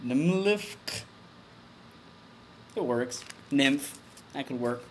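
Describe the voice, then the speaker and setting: A man giving a mock-dramatic comedic reading of a formal document, with overblown emphasis and deadpan muttered asides. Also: mid-range voice, very slow, slight background noise.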